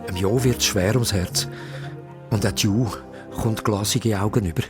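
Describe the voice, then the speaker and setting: A man's voice: lowish voice, normal speed, over music. A man with a Swiss German accent narrating a children's radio play as dramatised scripted storytelling.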